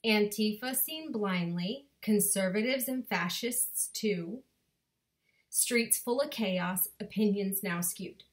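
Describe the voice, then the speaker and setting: A woman in her thirties performing her own spoken word poem in a deliberate, rhythmic way, recorded on a webcam in her bedroom. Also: medium pitch. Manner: slow, deliberate rhythmic delivery with dramatic pauses. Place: bedroom at home. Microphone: webcam at desk distance.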